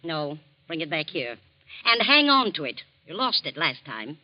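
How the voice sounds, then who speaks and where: An actress playing a bossy, domineering wealthy wife in 1940s radio acting, sharp and contemptuous. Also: female voice, low-pitched, very animated, moderate pace, no room sound. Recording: dull old radio broadcast recording.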